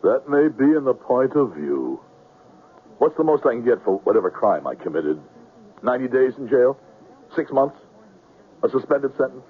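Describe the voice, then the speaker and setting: An actor playing a wordy, rueful swindler in a 1970s radio drama, florid and self-pitying. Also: male voice, mid-range voice, big pitch swings, moderate pace, pauses often, a little hiss or hum, close to an everyday voice. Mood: reflective, relieved. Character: wordy, rueful male con man under arrest. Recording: muffled, phone-like old radio recording.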